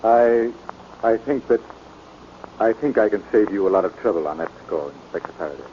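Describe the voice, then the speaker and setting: A man playing a hesitant, soft-spoken husband who carries a cat, in 1940s radio acting. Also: fairly low pitch, lively intonation, a little hiss or hum, close to an everyday voice. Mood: hushed. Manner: halting, quiet. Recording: muffled old radio recording, off-mic.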